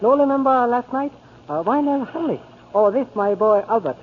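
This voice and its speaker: Muffled old radio drama, eager Chinese bait seller, very high voice, clipped broken English, Chinese accent. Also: male voice, lively intonation, moderate pace. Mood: eager, bargaining. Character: eager Chinese bait barge owner, a father. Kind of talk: 1940s radio acting. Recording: muffled, hissy 1940s radio recording.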